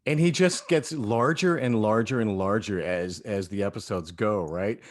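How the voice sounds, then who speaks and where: A man with a fairly low voice talks casually as part of an audio drama cast. He rambles enthusiastically, pausing and restarting as he gathers his thoughts. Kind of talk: casual group chat.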